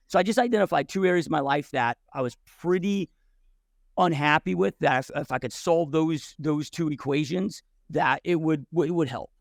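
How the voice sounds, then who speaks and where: A man's voice: a little high, gravelly, no room sound, clean studio sound. A man in his forties, a podcast guest who tells his story bluntly and casually, swearing freely with many restarts and "dude"s.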